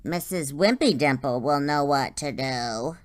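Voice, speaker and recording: A woman's voice: low voice, a little slow, pitched below the performer's own voice. A woman voicing a kind, puzzled young male cat in a storybook, earnest and emphatic. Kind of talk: storybook character voice.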